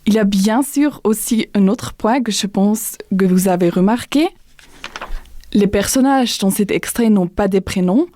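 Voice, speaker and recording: Mellow young woman hosting slowly. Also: medium pitch, no echo. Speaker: host and student. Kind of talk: podcast discussion hosting and reading aloud.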